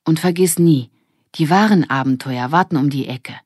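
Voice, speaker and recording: A woman's voice: low voice, moderate pace, darkish tone, breathy edge, no echo, clean studio sound. A woman voicing a confident, teasing older girl who leads a gang, in a children's audiobook. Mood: earnest.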